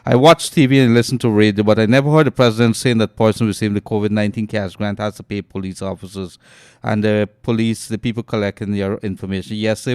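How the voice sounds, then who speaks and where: A Guyanese man hosting a call-in radio show. He reads out listener messages and comments on them with loud emphasis. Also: fairly low pitch, smooth, no echo, studio quality. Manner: loud and animated on air, trails off and murmurs when aside. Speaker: radio host. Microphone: studio mic.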